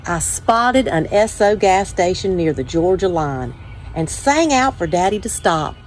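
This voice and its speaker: A woman's voice: fairly low pitch, animated, unhurried, a little hiss or hum, rough recording. A woman reading a children's storybook aloud as a first-person narration. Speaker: story time reader.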